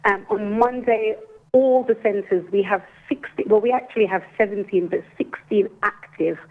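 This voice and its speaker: A woman in her forties with an English accent talks over a thin, tinny phone line. Her delivery is earnest and deliberately slow, and her voice sits in a middle register. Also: medium pitch. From Jamaica. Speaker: guest, restorative justice coordinator. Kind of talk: phone-in interview, explaining a program. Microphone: telephone.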